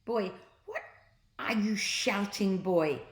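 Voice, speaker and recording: An indignant, bossy shopkeeper from a children's storybook acted out by a woman. He is a grown man given to loud, outraged exclamations. The sound bounces around a roomy space. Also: mid-range voice, takes it slowly, close to an everyday voice. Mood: demanding. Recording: roomy echo.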